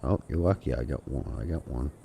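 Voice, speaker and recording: Super deep gravelly guy streaming a game, blocked-up nose from a cold, dark voice, bit of faint noise. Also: fairly quick. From Canada. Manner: mumbling, talks to himself and the game. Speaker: gaming streamer. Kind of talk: casual solo game commentary.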